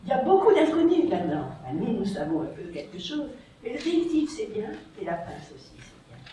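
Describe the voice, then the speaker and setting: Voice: mid-range voice, sing-song, brisk, mellow. An audience member making an emphatic comment from the floor, with rhetorical asides. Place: large echoey library hall. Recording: echoey hall from far off, faint noise.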